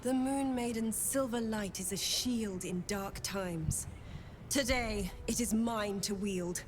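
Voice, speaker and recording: A woman's voice: mid-range voice, slow, faint music behind, lightly acted. A woman voicing a devout, noble warrior priestess in a video game, formal and earnest. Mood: earnest, resolute. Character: devout, noble female moon cleric warrior.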